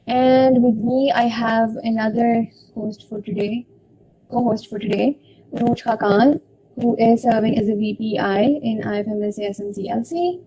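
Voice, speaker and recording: A young woman hosting an online student session, speaking slowly and formally as she welcomes guests. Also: on the high side, fairly even intonation, mellow, a little hiss or hum, poor audio. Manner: slow, deliberate, formal phrasing. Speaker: event host for a student association.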